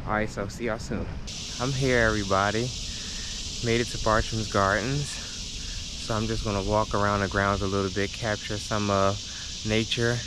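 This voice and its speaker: A man's voice: on the low side, fairly even intonation, normal speed. A man, chatting upbeat to the camera as he walks through a park. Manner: chatty and upbeat, addressing viewers as y'all. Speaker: vlogger. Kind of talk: casual vlog talk to camera. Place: outdoors in a park on a sunny day. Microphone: handheld action camera at arm's length while walking. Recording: outdoor noise, insects and traffic. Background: cicadas, insects and passing traffic.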